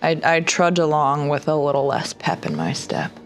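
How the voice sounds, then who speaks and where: Woman, tabletop player, low-pitched, casual in-character chat with faint music behind. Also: very animated, normal speed, vocal fry.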